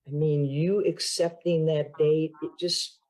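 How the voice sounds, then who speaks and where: A woman's voice: low-pitched, little pitch movement, unhurried, darkish tone. A woman improvising a teasing older sister who gives playful, reassuring dating advice. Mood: coaxing.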